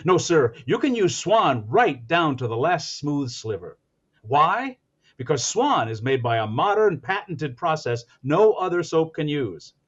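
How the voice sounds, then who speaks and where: A man's voice: a little high, very animated, measured pace, a bit bright, dull audio, exaggerated voice. A man playing an eager radio announcer who hams up a society husband, with grand melodramatic diction in 1940s radio comedy style. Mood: melodramatic pleading.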